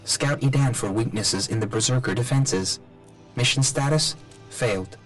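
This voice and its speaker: An actor playing an emotionless military robot drone in a video game, giving literal, clipped status reports. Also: male voice, medium pitch, normal speed, a bit bright, soft background music, close to an everyday voice. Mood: impassive, reporting.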